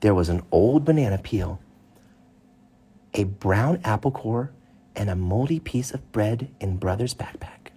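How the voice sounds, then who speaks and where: A man with a husky voice, a father reading a storybook aloud to his child with casual asides. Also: on the low side, expressive, unhurried, dark tone. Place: child's bedroom, indoors.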